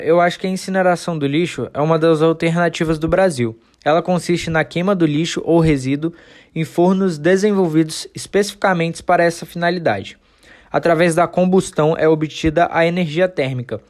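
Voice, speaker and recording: Guy doing a diligent brazilian student, slightly high voice, reciting like from a textbook, school radio play. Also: fairly even intonation, brisk, close to an everyday voice. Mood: earnest, reciting. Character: diligent male student reciting technical explanation. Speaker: student in class. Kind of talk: scripted amateur radio play.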